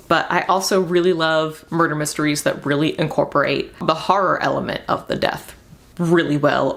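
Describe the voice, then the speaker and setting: A woman's voice: low voice, gravelly, a bit bright. A young woman talking casually and enthusiastically, rambling through book recommendations with emphatic repeats. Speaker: book reviewer and video host.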